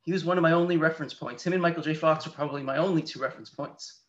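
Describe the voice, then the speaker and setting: A man's voice: a little high, very fast, fairly bright tone. A Canadian man in his thirties giving an open, reflective seminar presentation in a conversational way over a video call. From Canada, near Toronto. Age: 30s. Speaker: guest speaker, patient advocate. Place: small room indoors, on a video call. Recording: dull lo-fi video call audio.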